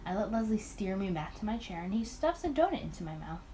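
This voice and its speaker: A woman's voice: medium pitch, big pitch swings, fast talker, dark tone, slight background noise, natural voice. A woman voicing a tired, awkward male detective who narrates a visual novel in the first person. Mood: weary, awkward.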